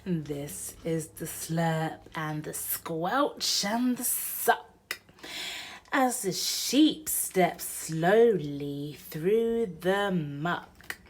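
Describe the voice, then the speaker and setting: A woman reading a children's storybook aloud in an expressive, sing-song way, addressing young children. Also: on the low side, drawn-out words, slight background noise. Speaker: mother and presenter of a children's read-aloud channel.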